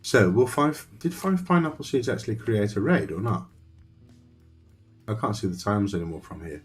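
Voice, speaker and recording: Low-voiced man streaming a game. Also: lively intonation, moderate pace, faint music behind. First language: Dutch. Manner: rambling, thinks aloud, talks to chat. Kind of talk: casual live-stream commentary while playing.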